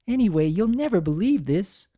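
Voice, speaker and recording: Man as girlish young unicorn mare in a pony story, naive and gossipy, pitch lifted and bouncing. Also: big pitch swings, normal speed, warm tone, dry recording, studio quality. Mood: gossipy, amused.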